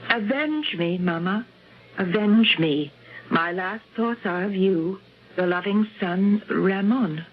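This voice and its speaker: A woman's voice: medium pitch, slow, a little hiss or hum, natural voice. A woman playing a principled, outspoken young American wife in old-time radio drama style. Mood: grave. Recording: phone-like old radio recording, faint music.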